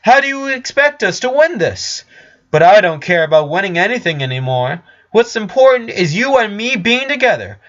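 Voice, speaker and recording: A man's voice: high voice, very animated, normal speed, a bit bright, dull audio, pitched above the performer's own voice. A man voicing a determined female unicorn in a story reading, harsh and lovesick. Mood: shouting, pleading.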